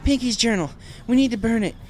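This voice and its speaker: A woman's voice: a little high, a little fast, a little mellow, soft background music, lightly acted. A woman voicing a determined young heroine pony, urgent and earnest, in a dramatic story reading. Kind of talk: dramatic fan fiction audio reading.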